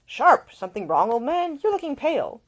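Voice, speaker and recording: A man's voice: extremely high pitch, sing-song, a little fast, fairly bright tone, highs cut off, voice pushed up, exaggerated voice. A woman voicing a breezy, idle young aristocratic man at a party in an audiobook. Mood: breezy, chipper. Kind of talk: audiobook character voice.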